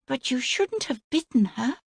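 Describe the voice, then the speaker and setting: A woman voicing a prim, polite young princess in a storybook reading, earnest and proper, raising her voice high. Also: expressive, normal speed, gravelly, husky, no room sound, heavily acted. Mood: scolding.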